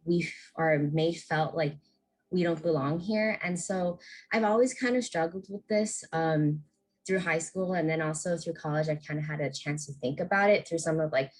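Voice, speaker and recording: A young American woman on a video call, thoughtfully sharing a personal reflection, hesitant with frequent fillers. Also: lowish voice. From United States. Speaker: intern.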